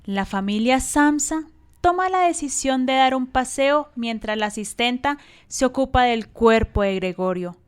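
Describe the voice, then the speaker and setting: A woman's voice: high-pitched, animated, unhurried, smooth. A woman reading scripted narration as the storyteller of a radio drama.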